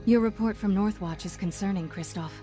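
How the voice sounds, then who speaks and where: A woman plays a firm, loyal noblewoman from a fantasy game. Her voice is soft-edged and medium in pitch, composed and commanding, with faint music underneath. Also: a little fast, natural voice. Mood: stern. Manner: composed, authoritative. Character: principled noble lady ruler, firm and loyal. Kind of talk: fantasy game cutscene acting.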